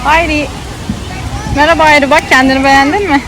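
High-pitched chatty woman vlogging in a crowd. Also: fairly even intonation, fairly quick. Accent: Turkish, Istanbul. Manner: chatty, addressing child and viewers. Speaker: vlogger parent filming a child. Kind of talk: casual vlog commentary. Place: outdoor children's theme park. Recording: busy outdoor crowd noise.

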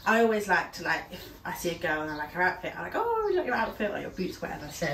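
A woman's voice: lowish voice, sing-song, racing, vocal fry, a bit bright, a little hiss or hum. A young woman with a stuffy nose from a cold, chatting casually with lots of ums and little laughs while trying on clothes at home. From United Kingdom. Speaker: fashion vlogger. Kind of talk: casual try-on haul chat. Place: small room at home, indoors. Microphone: camera across the room. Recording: roomy echo, voice a little far from the mic.

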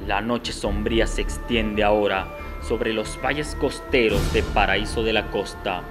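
A man's voice: lowish voice, fairly even intonation, a little slow. A man giving dramatic scripted narration for a radio soap opera. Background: prominent music.

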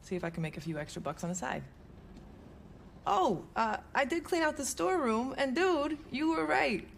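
A woman's voice: high-pitched, sing-song, normal speed, vocal fry, heavily acted. Casual young American street racer woman. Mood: relaxed, friendly. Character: young female street racer, loyal garage worker. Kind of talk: video game voice acting, casual speech. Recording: background ambience noise under the voice.

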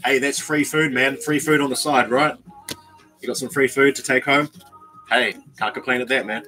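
A young man in a small bedroom, casually chatting with his viewers on a livestream and reading out their comments, slangy and relaxed, calling people bro and man. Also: mid-range voice, moderate pace, music in the background. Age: young adult. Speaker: YouTuber reading live chat.

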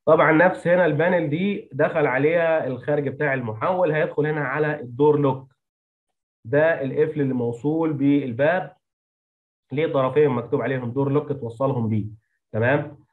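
Fast-talking Egyptian man giving a technical lesson. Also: on the high side, narrow, tinny audio. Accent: Egyptian Arabic. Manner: mixes English technical terms into Arabic. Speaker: engineering instructor. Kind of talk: lecture explaining technical slides.

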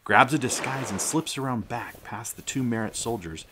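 A man's voice: mid-range voice, moderate pace, close to an everyday voice. A man playing a grandfather who narrates a space saga to his grandchild in an audio drama. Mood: suspenseful narration. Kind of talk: storytelling narration. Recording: clear background noise.

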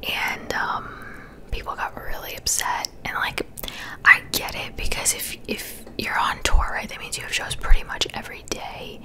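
A young woman in her twenties whispering casual gossip chat for an ASMR video, close to a studio microphone in a small bedroom. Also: moderate pace. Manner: chatty, conversational whisper. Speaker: ASMR creator. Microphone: large studio mic close to the mouth.

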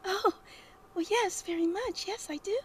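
Woman playing a high, fluttery smitten young lady. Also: moderate pace, a little hiss or hum. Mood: flustered. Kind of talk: horror radio drama acting.

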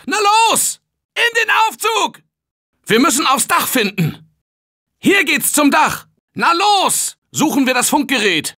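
A male actor playing a confident, energetic survivor in a German video game dub, shouting warnings and calling directions. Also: extremely high pitch, full character voice. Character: upbeat male office worker survivor, confident. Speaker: game character.

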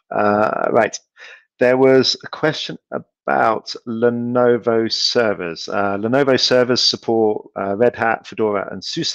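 A middle-aged man fields audience questions over a video call, talking in a relaxed, halting way full of ums and false starts. The audio is dry and a little dull, with no room sound. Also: lowish voice, creaky, no echo. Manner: relaxed, hesitant, many ums and restarts, laughs easily. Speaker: company speaker answering audience questions. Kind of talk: casual conference Q&A.

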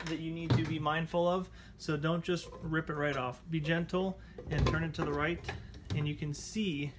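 A man's voice: on the high side, background noise. A man hosting a casual repair tutorial, chatting informally as he talks viewers through the steps. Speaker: how-to channel host. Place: indoors at a table at home.

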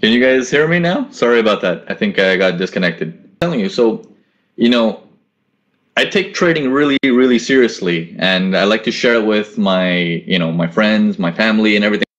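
A man's voice: on the low side, animated, a little fast, muffled recording. Man hosting a casual trading webinar. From grew up in Korea and the US. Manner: casual, repeats himself, coaching tone with rhetorical questions. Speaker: forex trading webinar host. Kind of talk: live webinar Q&A, reading chat questions. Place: small indoor room at home. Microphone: laptop webcam mic on a video call.

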